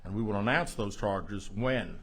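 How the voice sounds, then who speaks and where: Low-voiced, halting police chief. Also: male voice, fairly bright tone, a little hiss or hum. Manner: halting, measured, plain-spoken. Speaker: police chief at a press conference. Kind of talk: press briefing, answering reporters' questions.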